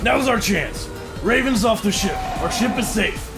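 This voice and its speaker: A man's voice: sits high, lively intonation, a little slow, vocal fry, music in the background, exaggerated voice. A man voicing a ruthless, bossy rival pirate captain who barks urgent orders in an audio drama. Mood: urgent, commanding.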